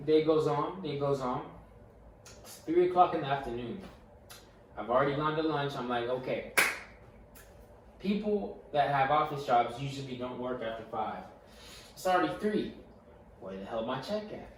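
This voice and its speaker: A man's voice: medium pitch, moderate pace, long pauses. Stuttering young man telling stories, echoey room. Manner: animated storyteller, stutters and repeats words. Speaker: podcast co-host. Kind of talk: casual podcast chat. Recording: echoey room, mic far off, faint noise.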